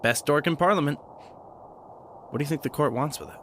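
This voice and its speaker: An actor playing an earnest, bookish, kind young man in an audio drama, with a gentle, lightly teasing manner. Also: fairly high pitch, moderate pace, slight background noise, natural voice. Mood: curious, concerned. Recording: music bed under the voice.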